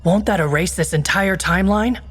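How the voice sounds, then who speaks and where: A woman's voice: on the low side, fairly quick, breathy edge, faint music behind. An actress playing a serious, skeptical female secret agent with a dry, businesslike manner in a comedic video game.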